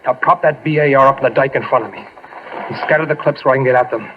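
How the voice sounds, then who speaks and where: An actor playing a gravely wounded, stubborn young American soldier in an old radio war drama. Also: male voice, medium pitch, quick pace, dull audio, lightly acted. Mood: urgent, weary. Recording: noisy, band-limited old radio recording.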